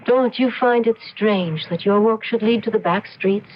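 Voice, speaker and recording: A woman's voice: medium pitch, moderate pace, lightly acted. Actress as sly, seductive mystery woman, muffled radio. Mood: knowing. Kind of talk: old-time radio drama acting. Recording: dull, noisy old radio recording.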